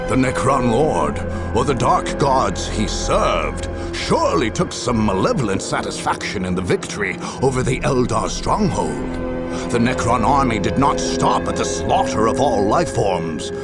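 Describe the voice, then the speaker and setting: A man narrating a game story in a theatrical, ominous way, with a gravelly, husky voice and deliberate phrasing. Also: medium pitch. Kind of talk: dramatic scripted game narration.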